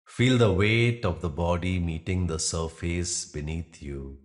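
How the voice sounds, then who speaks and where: A man guiding a yoga nidra meditation in a soothing, instructional manner with pauses between phrases. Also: sits low. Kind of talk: guided meditation narration.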